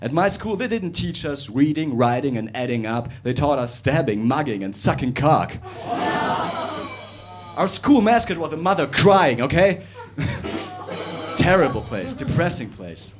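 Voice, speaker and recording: Young man doing club stand-up, very lively pitch, chatty and animated. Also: faint music behind. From Russia. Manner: animated, conversational, jumps between fast and drawn-out delivery. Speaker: stand-up comedian. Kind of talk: stand-up comedy set. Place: comedy club with live audience.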